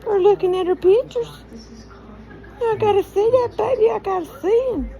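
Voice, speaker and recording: A woman's voice: very high voice, moderate pace, mellow, poor audio. A woman cooing to a baby in doting, repetitive, sing-song soothing baby talk.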